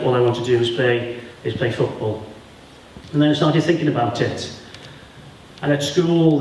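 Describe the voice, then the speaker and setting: A man's voice: mid-range voice, a little hiss or hum. A Welsh-speaking man from Wales, in his forties, giving a plain, friendly career talk to school pupils and speaking to them directly. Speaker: guest speaker. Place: school hall or classroom. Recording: echoey room from far off.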